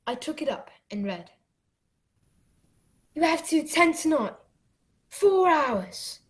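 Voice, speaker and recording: A woman playing an earnest, excitable young boy who acts and narrates his adventure in a radio play. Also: high voice, exaggerated voice. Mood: hushed wonder. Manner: dramatic, deliberate storytelling.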